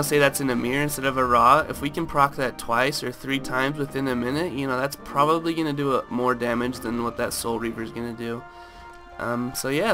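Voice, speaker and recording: A man with a raspy, just-woken-up voice talking through a game item comparison in a relaxed way, full of fillers. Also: a little high. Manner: relaxed, lots of fillers and hedges. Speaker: gaming video host. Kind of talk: casual game commentary. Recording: loud background music.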